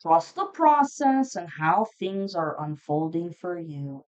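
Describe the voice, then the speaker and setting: A woman's voice: lowish voice, sing-song, slow, a little mellow, smooth, muffled recording. A woman giving a casual, unscripted tarot reading to viewers, speaking in a blunt, informal, foul-mouthed manner. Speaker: tarot reader hosting a zodiac reading.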